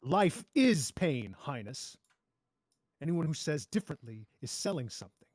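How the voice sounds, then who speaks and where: A man's voice: on the high side, very animated, normal speed, a little mellow, no echo. Man playing a sardonic masked pirate, dry close sound. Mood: cynical, taunting. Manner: sardonic, drawling taunts with polite menace. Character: mocking masked pirate man, cool and cynical. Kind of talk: dramatic table read of a fairy tale.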